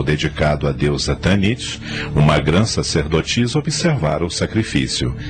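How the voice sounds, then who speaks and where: A man narrating a radio drama from a script in a dramatic storytelling manner. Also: low-pitched. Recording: faint music under the voice.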